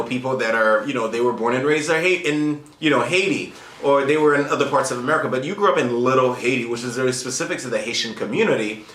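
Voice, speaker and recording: A man's voice: medium pitch, a bit bright, reverberant. A man hosting an interview, asking curious, conversational questions. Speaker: host.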